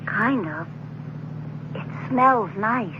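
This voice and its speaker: A woman's voice: a little high, lively intonation. Eerie, earnest little orphan boy. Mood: earnest, wondering. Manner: simple, deliberate, childlike phrasing. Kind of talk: 1970s radio drama acting. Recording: muffled, noisy 1970s radio recording.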